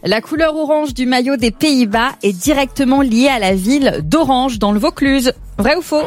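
A woman runs a quick-fire radio quiz in a casual, chatty way. Her voice is a little high, and faint music plays beneath it in the studio. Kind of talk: quiz questioning, casual live chat.

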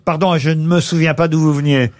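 High-voiced teasing French radio host. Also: male voice, steady tone, darkish tone, no echo. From Normandy, France. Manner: teasing, quick, laughing often. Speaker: radio game show host. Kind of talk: lively radio banter with callers.

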